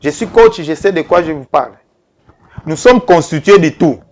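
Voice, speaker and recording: A man's voice: on the high side, animated, darkish tone, slight background noise. A man in his fifties, a life coach giving a televised talk to viewers, speaking earnestly and repeating key phrases. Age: 50s. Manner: slow, repetitive, earnest, addressing viewers directly.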